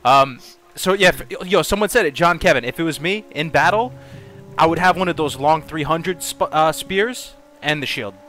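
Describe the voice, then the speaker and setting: A man's voice: a little high, lively intonation, moderate pace, bright, forceful tone, faint music behind. A man co-hosting a casual gaming podcast, speaking informally with slang and putting questions to the others. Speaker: podcast co-host.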